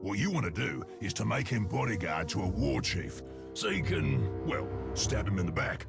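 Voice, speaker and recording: A bloodthirsty orc henchman, gravelly and fairly low. He is chummy, crude and boastful, and his pitch swings about like a game sidekick enjoying himself. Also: very animated, normal speed, loud music behind the voice. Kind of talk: video game character acting.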